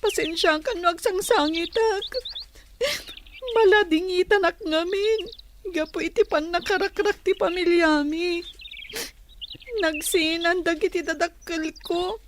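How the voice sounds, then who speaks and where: Woman voicing a very high-pitched, plaintive young girl. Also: fairly even intonation, unhurried, a little hiss or hum. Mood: sorrowful. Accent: Ilocano. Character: sorrowful young girl, child of separated parents. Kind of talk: Filipino radio drama acting.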